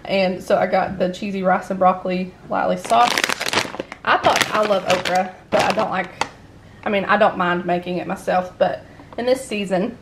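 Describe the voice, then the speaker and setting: A woman's voice: mid-range voice, fairly even intonation, normal speed, background noise. Chatty woman at home. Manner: chatty, laughs often, rambling asides. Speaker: family vlogger. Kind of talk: casual grocery haul chat. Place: home dining room, indoors.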